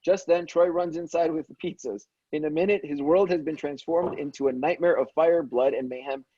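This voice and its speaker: A man reads screenplay action lines as a scripted narrator. His tone is relaxed and a little husky. The recording is dry and slightly dull. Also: on the high side, fairly quick, dry recording. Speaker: stage-direction reader at a table read.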